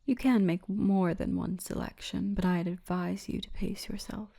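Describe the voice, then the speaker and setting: A woman voicing a big orc guardswoman, gentle, wry and commanding, in a hushed, breathy ASMR roleplay murmur. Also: medium pitch, steady tone, moderate pace. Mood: formal, teasing.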